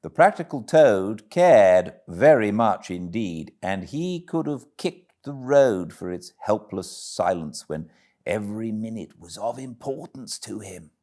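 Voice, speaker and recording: A man's voice: fairly low pitch, expressive, unhurried, mellow. A man with a British accent narrating a classic story expressively, as an audiobook reader does. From Britain.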